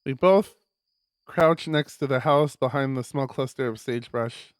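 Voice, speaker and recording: A man streaming a visual novel, reading it aloud as expressive narration with casual asides. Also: medium pitch, a little slow, no echo.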